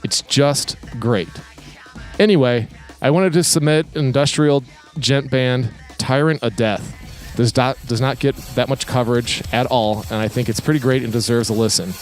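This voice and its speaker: A man co-hosting a podcast in casual chat, with a slightly halting delivery. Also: mid-range voice, soft background music. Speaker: podcast co-host.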